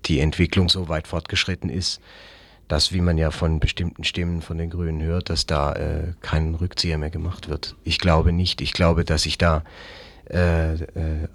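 A man speaking as a political guest in an unscripted discussion, haltingly searching for words and repeating phrases. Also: extremely low pitch, flat delivery, fairly bright tone. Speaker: interview guest, Green local politician.

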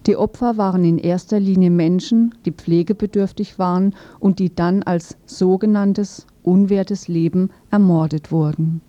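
A woman's voice: on the low side, fairly even intonation, normal speed, few pauses, warm tone. A woman presents a scripted radio news feature, reading it aloud in a sober, matter-of-fact way. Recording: dull, roomy lo-fi radio recording.

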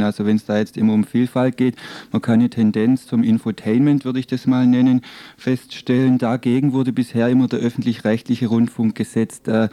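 A man's voice: mid-range voice, dark tone. A man working as a radio journalist, presenting reports and putting interview questions.

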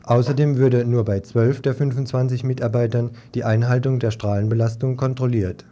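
A man's voice: mid-range voice, steady tone, normal speed, mellow. A man presenting a scripted radio news report, reading it aloud. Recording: dull lo-fi 1980s radio tape.

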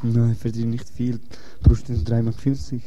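A man's voice: medium pitch, completely flat delivery, normal speed, mellow, muffled recording. A man from Kurdistan with a Kurdish accent, speaking earnest, broken German and repeating himself. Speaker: asylum seeker. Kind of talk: casual chat.

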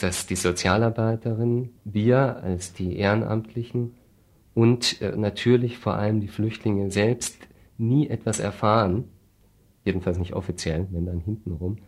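A man with a deep voice and very flat intonation speaks as an activist interview guest. He explains his points spontaneously and deliberately, with a dry, sardonic, critical edge.

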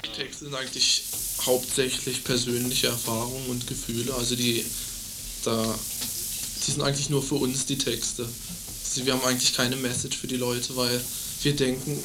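Teenage male, interviewed band member, even monotone, hesitant phrasing, clearly hissy recording. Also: mid-range voice, moderate pace. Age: late teens. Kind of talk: casual interview talk.

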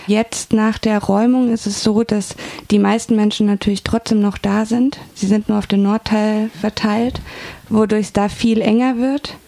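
Mellow, creaky-voiced woman being interviewed. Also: mid-range voice, flat delivery, moderate pace. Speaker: interview guest, volunteer eyewitness. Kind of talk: spontaneous explanatory interview answers. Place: radio studio.

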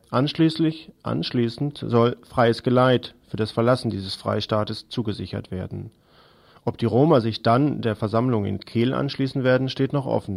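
A man's voice: medium pitch, vocal fry, darkish tone. A man reading scripted news as a radio presenter.

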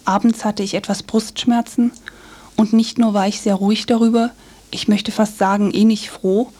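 Woman acting a young 19th-century poetess, mellow voice, mid pitch, grave, measured, melancholy letter reading. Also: level tone, lightly acted. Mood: melancholy, resigned. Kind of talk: literary letter reading in a radio feature.

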